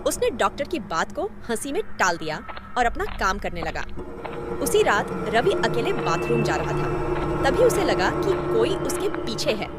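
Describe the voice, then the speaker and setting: Animated woman narrating a horror story over music. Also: on the high side, moderate pace.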